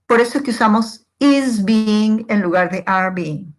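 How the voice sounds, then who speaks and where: A woman's voice: mid-range voice, measured pace. A woman teacher giving a step-by-step grammar lesson in a didactic way, posing questions and answering them herself. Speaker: English teacher.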